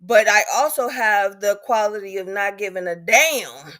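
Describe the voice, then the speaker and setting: A woman's voice: mid-range voice, expressive, moderate pace, fairly bright tone. A woman in her thirties speaks in a candid panel discussion. Her delivery is emphatic, and she often repeats a phrase to drive a point home. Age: 30s. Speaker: patient advocate on a panel.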